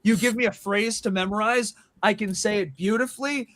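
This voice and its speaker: A man's voice: high voice, fairly even intonation, fairly quick, fairly bright tone, no echo. A man telling a long, rambling story to friends with lots of fillers and restarts, acting out quoted dialogue. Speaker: band member and show regular. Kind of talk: casual storytelling among friends. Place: small room with several people around mics.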